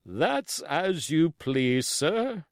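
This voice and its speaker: A man's voice: fairly high pitch, big pitch swings, drawn-out words, no echo, studio quality, voice pushed up, heavily acted. A man voicing a stern, blunt and dutiful sea captain in an audiobook, speaking formally and with curt emphasis. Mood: frank, unbending.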